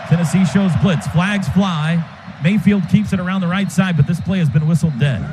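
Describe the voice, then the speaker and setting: Man, radio play-by-play announcer, high voice, clipped calls, loud stadium noise. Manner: rapid clipped calls that rise to shouts on big plays. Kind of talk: live radio football play-by-play.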